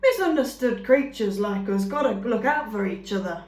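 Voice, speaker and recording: A woman voicing a friendly, hospitable female skunk who squeaks with excitement. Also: normal speed, close to an everyday voice. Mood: warm. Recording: roomy echo.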